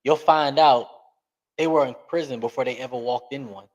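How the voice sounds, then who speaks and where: A man giving an interview on a podcast, talking earnestly and emphatically, speeding up and slowing down to stress points. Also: medium pitch, highs cut off. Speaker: podcast guest. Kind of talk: candid interview talk.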